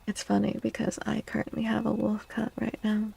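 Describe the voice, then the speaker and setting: Breathy, soft-spoken woman with slight fry. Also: mid-range voice, completely flat delivery, a little fast. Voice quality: soft, breathy, often whispery. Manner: gentle, soothing, repeats phrases softly. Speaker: ASMR streamer host. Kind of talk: soft-spoken ASMR livestream chat.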